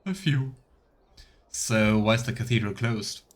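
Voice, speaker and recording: A man's voice: lowish voice, unhurried. A man playing through a fantasy game as a streamer, reading dialogue aloud and commenting casually. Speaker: streamer, let's player.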